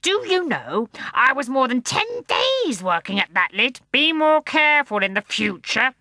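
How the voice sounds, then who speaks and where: Woman doing a storybook bear, male, slow, bright tone, lilting, dry close sound. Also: no room sound, exaggerated voice. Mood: deliberate. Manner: slow, deliberate, lively pitch. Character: large slow gentle male bear gatekeeper.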